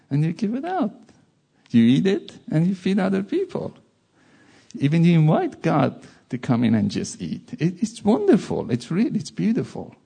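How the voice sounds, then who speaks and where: A man's voice: a little high, vocal fry, mellow, husky. A man from Iran with a Persian accent, giving an informal sermon with jokey asides. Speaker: preacher.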